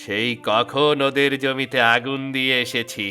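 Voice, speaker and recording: A sly cartoon villain chuckles over his own scheming, played by a man. His low, lower-than-usual voice has a tense, bright bite. Faint music sits behind him in this animated folk tale. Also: unhurried. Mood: astonished. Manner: gloating, chuckling to himself. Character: scheming jealous male village neighbor, gloating. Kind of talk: animated storybook voice acting.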